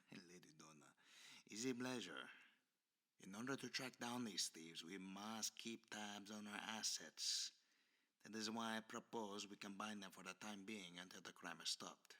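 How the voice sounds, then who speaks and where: Man acting a suave, crisp-edged aristocratic villain. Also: fairly low pitch, moderate pace, pushed and bright. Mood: smooth, commanding. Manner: formal, florid, smoothly condescending speech. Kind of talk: ASMR roleplay audio drama acting.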